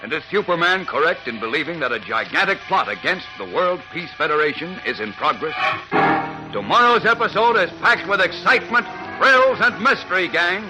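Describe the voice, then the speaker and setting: A man's voice: on the high side, lively intonation, normal speed, low-quality recording. A man narrating a 1940s radio serial as its announcer, in a dramatic, suspenseful manner. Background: music.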